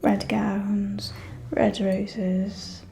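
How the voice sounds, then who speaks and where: A woman playing a haughty, gossipy vampire noblewoman in a whispered ASMR roleplay, catty and conspiratorial, speaking close to the microphone in drawn-out phrases. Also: mid-range voice, level tone, dark tone, faint music behind. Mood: conspiratorial gossip. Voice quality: breathy whisper with murmured phrases. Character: haughty, gossipy aristocratic female vampire at a ball.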